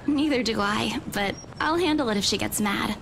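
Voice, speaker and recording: High-pitched young woman, played by an actress, gentle, guarded, visual novel game character, faint noise. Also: moderate pace, vocal fry. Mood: worried, guarded.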